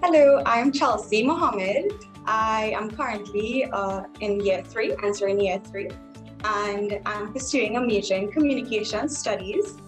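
A woman's voice: mid-range voice, unhurried, faint music behind. A woman in her twenties with a Trinidadian accent, a university student introducing herself on a video call. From south Trinidad. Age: 20s. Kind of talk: self-introduction on a panel. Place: living room, video call.

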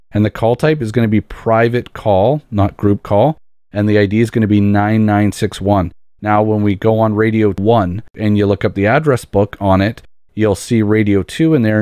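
Low, mellow-voiced man explaining steps. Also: dry recording, clean studio sound. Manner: explanatory, repeats key points for emphasis. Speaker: tutorial presenter. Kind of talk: step-by-step software tutorial narration.

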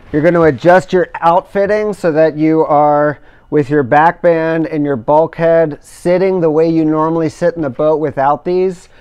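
A middle-aged man calmly explaining each step of a hands-on installation as he works. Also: a little high, clear, resonant tone. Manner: careful, measured explaining while working with his hands. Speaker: instructor demonstrating kayak outfitting. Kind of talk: step-by-step how-to demonstration.

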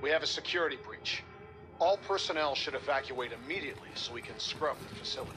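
A man's voice: mid-range voice, lively intonation, fast, natural voice. Casting: actor for official-sounding military man, stern and obedient, video game comms dialogue over music. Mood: urgent. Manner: formal, official. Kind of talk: video game cutscene acting.

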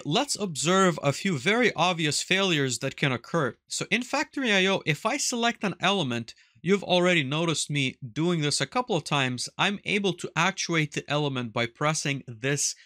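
The crisp, forward, higher-pitched male voice you'd hear walking you through an online engineering course, recorded close and dry. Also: bright, forceful tone, no echo. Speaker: instructor. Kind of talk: tutorial narration with screen demonstration. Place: small indoor workshop room with wall of electronics.

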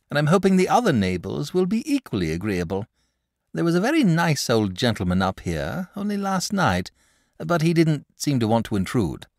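A man's voice: fairly high pitch, vocal fry, dry recording, studio quality, voice pushed up, exaggerated voice. Man putting on a chatty, bashful dragon. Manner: chatty, polite, eager. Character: genial bashful male dragon, lazy poet.

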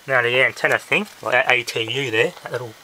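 A man giving a casual hands-on electronics demonstration, talking haltingly as he thinks aloud. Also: medium pitch, pushed and bright. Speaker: hobbyist presenter. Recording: noisy amateur handheld camera audio with clicks.

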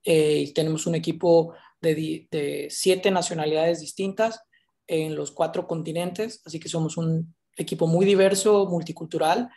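A man's voice: fairly high pitch, mellow. A man, giving an eager introduction on a video call through a headset. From Mexico. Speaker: panelist.

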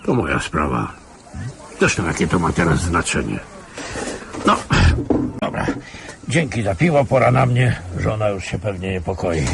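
A man's voice: low-pitched, very animated, normal speed, creaky, pauses often, noisy background, heavily acted. A man playing a world-weary, cynical old retired security officer in a radio play, sardonic and patronising. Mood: weary, guarded. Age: older. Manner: sardonic, patronising, chuckling asides. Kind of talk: Polish radio play acting.

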